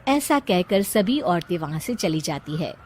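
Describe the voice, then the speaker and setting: A woman's voice: fairly low pitch, big pitch swings, measured pace. A woman narrating a scripted moral story.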